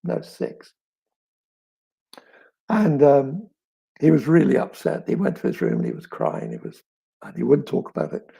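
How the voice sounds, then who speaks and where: A middle-aged man with a crackly, raspy voice, as if with a frog in his throat, chatting reflectively as an author and telling stories with thoughtful pauses and emphasis. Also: medium pitch, wildly swooping pitch, mellow. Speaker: author answering audience questions. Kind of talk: reflective live chat, storytelling.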